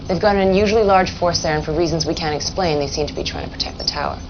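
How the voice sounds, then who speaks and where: An actress playing a businesslike military intelligence officer giving an urgent, matter-of-fact briefing in a video game cutscene. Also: female voice, deep voice, racing, natural voice. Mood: focused, intrigued. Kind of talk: dramatic live-action game cutscene acting. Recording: dull lo-fi audio with clear noise.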